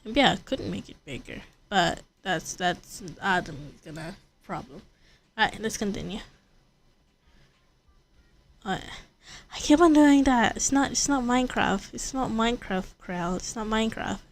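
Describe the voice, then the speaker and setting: A woman's voice: medium pitch, unhurried, slight background noise. A woman livestreaming a video game, chatting casually and thinking aloud in rambling, repeated phrases. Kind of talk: casual livestream chat while gaming.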